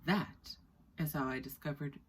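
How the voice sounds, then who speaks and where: A woman's voice: low voice, steady tone, unhurried. A proud, roaming male street cat from a storybook, voiced by a woman. He makes confident declarations like a seasoned adventurer, with faint room noise behind the voice.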